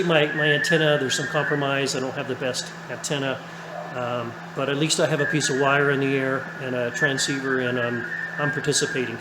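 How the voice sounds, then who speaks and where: A man gives a casual, halting, upbeat update as an amateur radio hobbyist in his radio room at home. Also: on the high side. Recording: echoey room, clear buzzing radio static. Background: radio static and buzzing.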